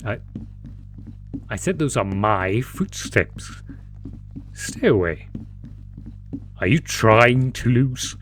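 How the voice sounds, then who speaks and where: A man voicing a sadistic, theatrical monster who taunts a prisoner in a horror roleplay, talking with drawn-out pauses. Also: medium pitch, lively intonation, fairly bright tone, soft background music. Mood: rattled, frustrated. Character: sadistic, gloating male monster stalking its prisoner through a maze. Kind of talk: ASMR horror roleplay audio drama.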